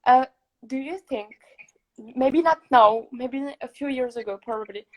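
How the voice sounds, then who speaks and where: A young woman from Morocco hosting a casual interview over a video call, chatty and quick to laugh. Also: sits high, poor audio. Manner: chatty, laughs often, many fillers. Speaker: podcast host.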